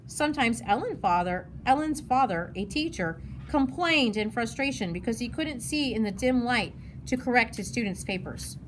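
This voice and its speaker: Woman narrator, storybook read-aloud, fairly high voice, faint noise. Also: lively intonation, moderate pace, few pauses, low-quality recording.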